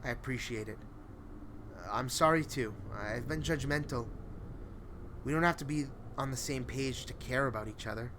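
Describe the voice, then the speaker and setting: A worn-out husband from a horror tale, played by a male narrator, trying to soothe his wife. He is soft-spoken and careful, in a mid-range voice with noticeable noise behind it. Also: medium pitch, moderate pace, lightly acted. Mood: tired, apologetic. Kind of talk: dramatic horror story reading.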